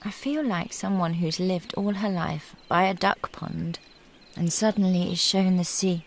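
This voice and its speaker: A woman's voice: on the low side, unhurried, gravelly. Wistful young wife with noisy ambience behind woman acting. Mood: wistful, reflective. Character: wistful, earnest young married Englishwoman among convent nuns. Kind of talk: radio drama acting.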